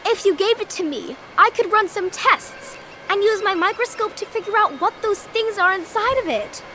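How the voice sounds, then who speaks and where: A woman's voice: extremely high pitch, lively intonation, moderate pace, pushed and bright, slight background noise, exaggerated voice. A woman voicing a bossy, brainy schoolgirl who loves science, pedantic and insistent, in a children's audio drama.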